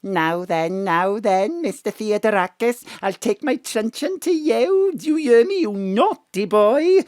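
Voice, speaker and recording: A feisty older mam, acted by a woman, homely and nosy, quick to scold. Her colloquial talk rises and falls a lot, in a dry, close audio comedy recording. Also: medium pitch, expressive, darkish tone, no echo, lightly acted. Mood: mock-scolding. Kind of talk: comic audio drama acting.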